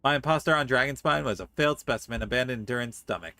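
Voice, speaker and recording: A man's voice: medium pitch, quick pace, bright, forceful tone, no room sound. A man livestreaming a game, reading story dialogue aloud articulately and adding playful comments. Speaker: game streamer.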